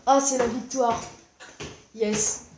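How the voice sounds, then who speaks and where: A woman's voice: a little high, steady tone, slow, background noise. Female gaming host, stuffed up with a cold, exclamatory, commentating a match as it happens. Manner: exclamatory, repeats short interjections. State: congested with a cold. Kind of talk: live excited gameplay commentary.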